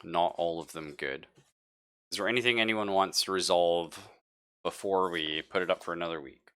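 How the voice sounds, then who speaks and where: Bright, lowish-voiced man chatting as game master. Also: moderate pace, smooth. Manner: profane, slangy, playful. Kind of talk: casual chat wrapping up a tabletop game session.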